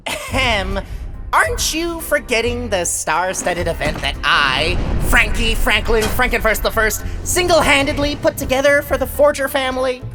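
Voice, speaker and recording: Woman as a pompous, lively vain sidekick guy. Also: fairly high pitch, normal speed, soft background music. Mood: boastful. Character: vain, self-promoting male sidekick, grandiose. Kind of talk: cartoon parody voice acting.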